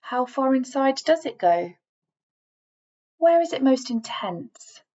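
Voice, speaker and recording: A woman reading a scripted guided relaxation in a measured, instructing manner, leaving deliberate pauses between phrases. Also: high-pitched, sing-song, a little mellow, dull audio. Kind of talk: scripted guided meditation voiceover.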